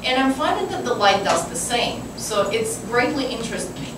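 A woman's voice: a little high, big pitch swings, normal speed. Echoey, distant Ukrainian-accented woman. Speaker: painter on a panel. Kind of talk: informal panel talk. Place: large wooden hall with seated audience. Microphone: far camera. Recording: distant, very echoey, noisy room recording.

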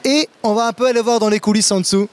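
High-voiced man hosting a product demo. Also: quick pace. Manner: lively, enthusiastic, asks questions, stammers at times. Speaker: kitchen store host. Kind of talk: casual product demo chat. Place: large indoor trade fair hall. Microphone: handheld mic.

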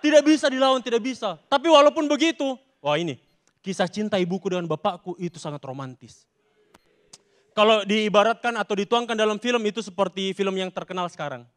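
A young man delivers stand-up on a stage with a Makassar Indonesian accent. His voice sits high for a man, and he tells his stories with emphatic, theatrical punch. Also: brisk. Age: young adult. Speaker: stand-up comedy contestant. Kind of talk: stand-up comedy performance. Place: large TV studio stage with audience. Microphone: handheld microphone.

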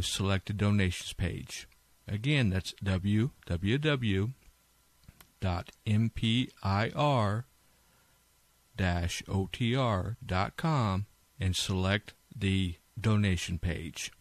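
A man with a fairly low voice presents as a podcast host, deliberate and earnest. He is close on the mic in a very dry recording. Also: no room sound. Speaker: podcast host and internet radio operator. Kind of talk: scripted podcast intro and appeal.

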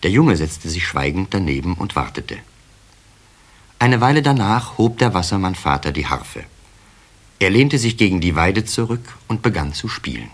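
A man's voice: sits low, big pitch swings, normal speed. A man narrating a scripted children's story in a radio play, as the storyteller. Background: soft guitar music at times.

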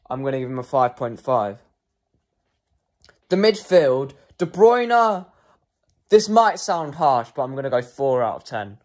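A young man giving casual post-match player ratings as a football fan, hesitant with frequent ums and self-corrections. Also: a little high.

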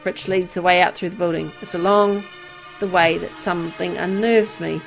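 A woman reading a horror visual novel aloud in an expressive storytelling style. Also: lowish voice, normal speed, vocal fry, soft background music.

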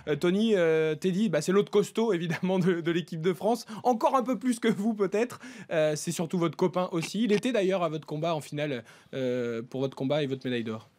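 Man, radio host, live sports panel, rapid delivery, slightly high voice, studio desk mic. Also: a little mellow. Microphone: studio desk microphone.

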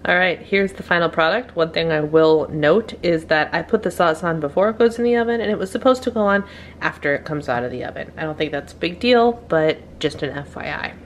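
A woman's voice: low voice, brisk, slight background noise. A woman narrating a casual cook-along in her home kitchen, chatty and upbeat, laughing at her own slip-ups. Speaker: home cooking vlogger. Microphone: camera over the counter, hands in frame.

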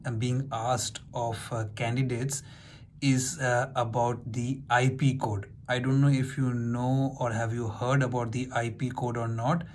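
A man in his forties, a maritime lecturer, explaining an exam topic in a steady, instructive way with occasional "uh" fillers, recorded close to a webcam in a small office. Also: mid-range voice, a little slow, slight background noise. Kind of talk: explanatory exam-prep lesson.

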